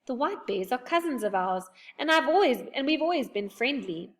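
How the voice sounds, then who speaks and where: A big father bear from a storybook speaks in a low growl, gruff yet kind. A woman reader acts him out. He turns worried when danger comes near. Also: lively intonation, normal speed. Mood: mournful.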